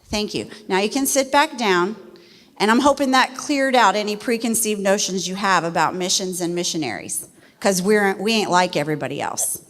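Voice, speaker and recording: A middle-aged woman from West Virginia, a missionary speaking to a church congregation in a folksy, animated way. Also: mid-range voice, normal speed. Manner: folksy and animated, laughs easily. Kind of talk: church testimony talk. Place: church sanctuary. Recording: long reverb of a church hall.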